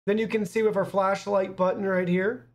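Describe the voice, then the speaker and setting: A young man reviews a product to camera. His voice sits high for a man, and his delivery is upbeat and presenterly. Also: little pitch movement, fairly quick. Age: young adult. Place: small indoor studio.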